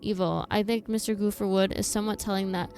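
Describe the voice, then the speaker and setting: A woman's voice: medium pitch, fairly even intonation, fast, a little mellow. A woman game streamer giving casual live reaction commentary. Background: game music.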